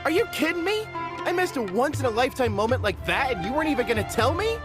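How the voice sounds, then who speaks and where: Excitable merc dude, high for a guy, really lively, music behind. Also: fast. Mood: indignant. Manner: animated, indignant. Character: excitable, grumbling male mercenary comrade. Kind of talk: video game character acting.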